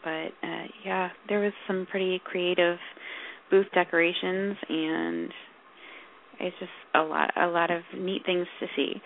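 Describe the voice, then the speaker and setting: Woman cohost chatting, kinda gravelly and hoarse, rambles w lots of ums, sounds like a phone line. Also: lowish voice, fairly even intonation, measured pace. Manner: rambling, lots of fillers and restarts. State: losing her voice, coughing. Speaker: podcast co-host. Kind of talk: casual chat. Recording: tinny phone-like call audio.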